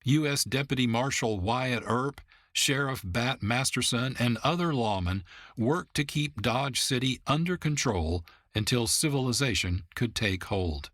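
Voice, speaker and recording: A man's voice: medium pitch, expressive, measured pace, fairly bright tone, dry recording, clean studio sound. A man, narrating a scripted radio program as its host.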